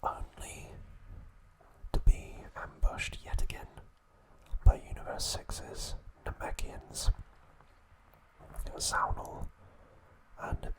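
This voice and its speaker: A man whispers softly and breathily, as an ASMR host would. He takes his recap very slowly, with gentle, halting phrasing that stays hushed throughout. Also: rough recording.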